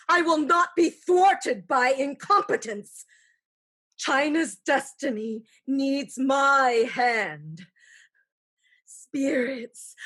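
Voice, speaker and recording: A woman's voice: high-pitched, fairly bright tone, heavily acted. A woman playing a villainous, imperious sorceress in a staged play reading, with a grandiose, incantatory delivery. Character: villainous imperious sorceress commanding spirits and dark magic.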